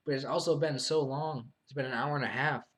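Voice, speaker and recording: A young man talking alone on a live stream, speaking softly and earnestly, halting often and restarting his sentences. His voice sounds worn and close to giving out after a long stretch of talking. His pitch sits in an ordinary middle range. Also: fairly even intonation. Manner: hesitant, halting, many fillers and restarts; soft, subdued, earnest. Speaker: live streamer answering viewer questions. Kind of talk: casual live stream monologue.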